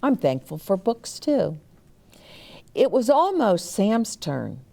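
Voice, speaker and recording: A woman storyteller reading a picture book aloud to young children, animated, with chatty explanatory asides. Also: mid-range voice, takes it slowly, creaky.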